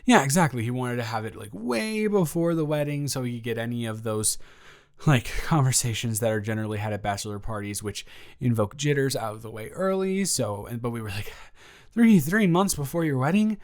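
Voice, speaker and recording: A man's voice: medium pitch, expressive, brisk, darkish tone, lightly acted. A man playing a nervous, self-deprecating young male roommate in an improvised audio roleplay, stammering and repeating himself as he rambles. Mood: nervous, rambling.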